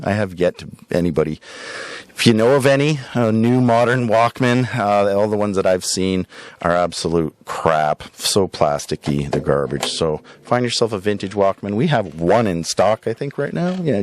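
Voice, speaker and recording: A man hosting a podcast in a casual, rambling way, showing items for sale with drawn-out emphasis and frequent tag questions. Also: on the low side, a little mellow, no echo. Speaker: podcast host and record shop seller. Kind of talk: casual rambling product showcase.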